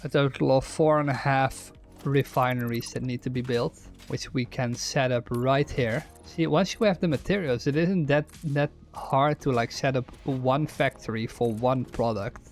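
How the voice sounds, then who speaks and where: A man's voice: mid-range voice, moderate pace, soft background music. Dutch-accented man streaming a factory game. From Netherlands. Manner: thinks aloud, restarts words, reads chat questions aloud. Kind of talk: gameplay commentary while reading chat.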